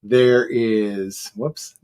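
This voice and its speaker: A woman hosting a casual livestream from a basement, talking loudly into one shared table mic and greeting viewers by name. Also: extremely low pitch, fairly even intonation, extremely slow, clean tone. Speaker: show host. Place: basement studio. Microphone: one shared table microphone.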